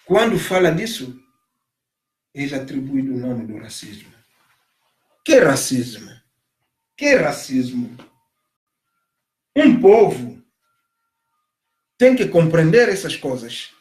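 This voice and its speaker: A man from Africa giving an impassioned monologue, emphatic and repetitive, stressing his points loudly. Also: on the high side, big pitch swings, slow, long pauses, roomy sound. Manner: emphatic, repeats phrases, rising to loud stresses. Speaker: commentator on migration.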